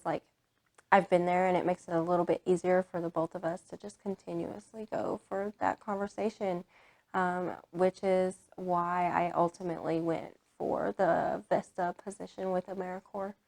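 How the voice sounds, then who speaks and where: A woman's voice: medium pitch, creaky. A young woman from Kansas answering interview questions about her volunteer service, candid and earnest, with many "um"s and "like"s. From Kansas, United States. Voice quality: many filler words and "like" restarts. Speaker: AmeriCorps VISTA member.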